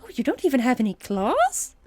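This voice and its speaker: A woman voicing small, amazed cat-folk villagers who fire off eager, excited questions. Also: a little high, brisk.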